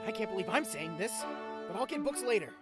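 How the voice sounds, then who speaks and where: A woman acting a reluctant, expressive, bookish young woman in an audio drama. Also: mid-range voice, fast, over loud music, close to an everyday voice. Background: drama score.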